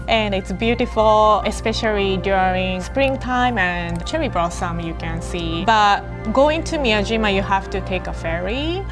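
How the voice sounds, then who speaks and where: A young woman from Japan with a Japanese accent, chatting enthusiastically about travel spots in loose, giggly English. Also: medium pitch. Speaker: co-host, travel recommender. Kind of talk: casual travel chat. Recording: music playing underneath.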